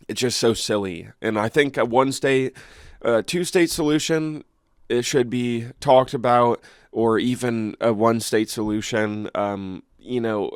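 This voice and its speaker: A man's voice: fairly low pitch, clean tone, no room sound, studio quality. A congested man hosting his own channel, reading news articles aloud and then chatting casually with fillers and restarts. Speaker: channel host.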